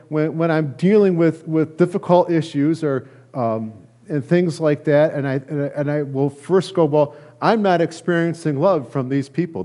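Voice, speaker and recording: A middle-aged man, a pastor, preaching a conversational sermon in an earnest, halting way with repeated phrases. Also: fairly high pitch, darkish tone. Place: church sanctuary, at a lectern indoors.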